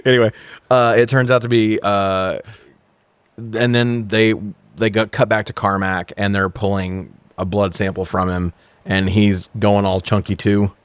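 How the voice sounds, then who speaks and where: A man's voice: lowish voice, flat delivery, measured pace, clear, resonant tone, dry recording. Sweary, irreverent man bantering, close dry sound. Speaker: podcast co-host. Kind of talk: casual movie-review banter and plot recap.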